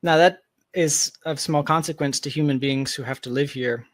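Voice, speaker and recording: A man's voice: fairly high pitch, fairly even intonation, normal speed, dry recording. Reflective man thinking aloud in dry sound. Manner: reflective, hedging, reasons aloud in long asides. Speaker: podcast guest, tech founder. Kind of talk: casual speculative discussion.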